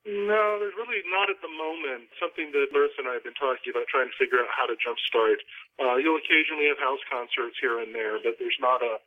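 A man's voice: medium pitch, quick pace. A man chatting casually as a panel guest over a telephone-like connection. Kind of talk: casual chat. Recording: narrow telephone-like line.

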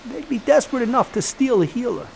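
High-voiced, animated gangster boss. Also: male voice, quick pace, vocal fry, a little hiss or hum, heavily acted. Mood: scheming. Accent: American. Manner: streetwise slang, sly insinuation, theatrical. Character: gleeful sweet-toothed gangster crime boss, sly and menacing. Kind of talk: period gangster audio drama acting.

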